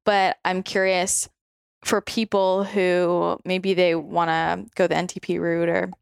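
A woman's voice: lowish voice, little pitch movement, takes it slowly, creaky, smooth, no echo, clean studio sound. A woman hosting a podcast in casual chat with a friend at home, bubbly, laughing often and swearing lightly. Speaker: podcast host.

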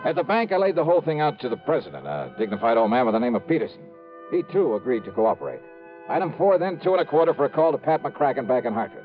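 An actor with an American accent playing a brisk, shrewd insurance investigator in 1950s radio drama, punchy and urgent. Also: male voice, mid-range voice, very animated, lightly acted. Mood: brisk narration. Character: brisk, shrewd male insurance investigator, take-charge and hard-boiled. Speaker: lead actor and narrator. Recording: dull vintage radio recording, faint music.

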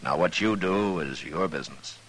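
Deep, gruff western marshal. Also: male voice, moderate pace, fairly bright tone. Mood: reluctant, measured. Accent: American. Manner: gruff, terse, firm. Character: stern, steady frontier US marshal, male. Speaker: radio drama actor. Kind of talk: 1950s radio western acting. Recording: hissy vintage radio recording.

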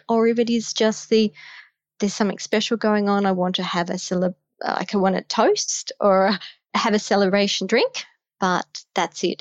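A woman's voice: mid-range voice, dry recording, lo-fi sound. A woman with an Australian accent, a guest on a podcast, giving emphatic, direct advice on health in midlife. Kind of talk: podcast conversation.

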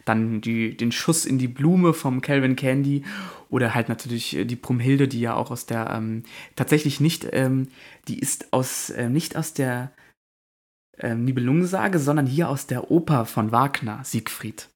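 A man's voice: mid-range voice, moderate pace. A man co-hosting a German film podcast, talking casually and hesitantly with frequent fillers and restarts. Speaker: podcast co-host.

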